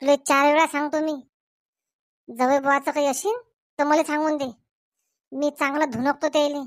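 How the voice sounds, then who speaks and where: A woman's voice: high-pitched, level tone, moderate pace, no echo, studio quality, lightly acted. A woman voicing a protective village man in a comedy cartoon, blunt and assertive. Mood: threatening.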